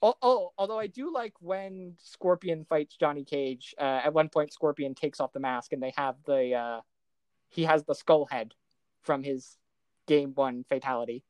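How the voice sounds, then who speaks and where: A podcast co-host talking casually about films in an animated, exasperated way, with some mild swearing. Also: mid-range voice, normal speed, no room sound. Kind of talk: casual film discussion.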